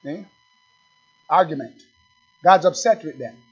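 A man preaching a sermon as pastor, swinging from quiet asides to loud, slow, emphatic scripture reading and questions put straight to his congregation. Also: fairly high pitch, animated, husky. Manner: reads scripture slowly and with emphasis. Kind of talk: fiery sermon. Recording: dull, lo-fi recording.

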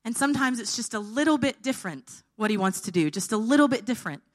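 A woman's voice: fairly high pitch, lively intonation, quick pace. A woman preaching an informal sermon, her voice tired and held back, often checking in with her listeners.

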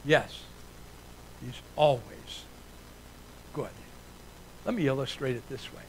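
A man's voice: mid-range voice, slow pace, a little hiss or hum, low-quality recording. An older man born in Italy, preaching a sermon to a church in an earnest, emphatic way, building points through repeated lists. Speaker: guest preacher.

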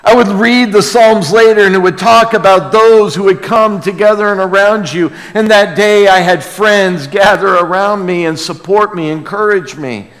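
A man preaching a sermon as a pastor, earnest and emphatic, moving between hushed lines and loud exclamation, with rhetorical questions to the congregation. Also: high-pitched, normal speed, hardly any pauses. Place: large reverberant room, preaching to a congregation. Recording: long hall reverb.

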